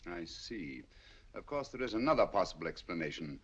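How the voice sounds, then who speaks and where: An actor playing a shrewd, calm detective, speaking in a measured, deliberate way in a 1940s film. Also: male voice, low voice, breathy edge, full character voice. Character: shrewd calm male detective, middle-aged. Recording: dull lo-fi 1940s film soundtrack.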